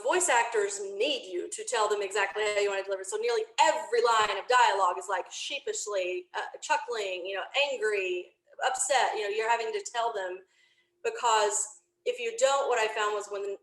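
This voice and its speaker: A woman chatting casually as an interview guest, explaining her work in an animated, expressive way. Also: mid-range voice, roomy sound, rough recording. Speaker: podcast guest, audio drama writer.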